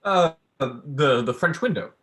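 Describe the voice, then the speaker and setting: A man's voice: fairly high pitch, lively intonation, takes it slowly, fairly bright tone. A young man acting a polite, cagey male visitor with a hesitant manner in a staged play reading. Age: young adult.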